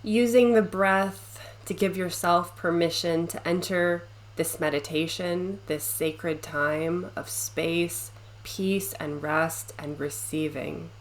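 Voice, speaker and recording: A woman leading a guided meditation, speaking gently and soothingly with long pauses between short phrases. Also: fairly low pitch, fairly even intonation, slight background noise, poor audio.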